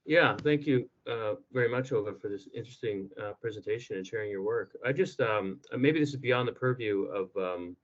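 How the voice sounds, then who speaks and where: A man's voice: lowish voice, fairly quick, hardly any pauses, dull audio. A man asking a conference presenter a question, tentative and hedging, often correcting himself. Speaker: conference discussant.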